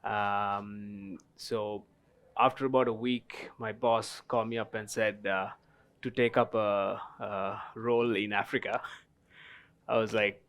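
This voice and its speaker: A man from Sri Lanka in his thirties speaks at a live festival panel. His voice sits low and comes across smooth and resonant. He tells his story in an easy, rambling way, with plenty of ums along the way. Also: little pitch movement, slow, fairly bright tone. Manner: storytelling, many fillers, laughs at own story. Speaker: tea company guest. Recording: live festival stage talk.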